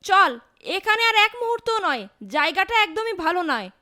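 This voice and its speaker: High, sharp, fretful mother. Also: sing-song, a little fast, full character voice. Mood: scolding. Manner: urgent, admonishing. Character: worried, scolding mother in a fairytale. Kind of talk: cartoon fairytale voice acting.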